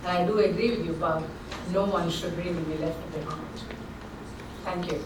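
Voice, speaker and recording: A woman's voice: on the low side, fairly even intonation, normal speed. A woman giving a keynote speech, speaking in an earnest, confident corporate way. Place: large conference hall. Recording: echoey hall, distant mic, background noise.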